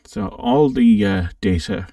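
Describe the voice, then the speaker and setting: A man hosting a casual, informal software walkthrough for an amateur radio channel, thinking aloud a little hesitantly as he goes. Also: fairly low pitch, slow pace, creaky, clean studio sound. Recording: roomy, distant microphone.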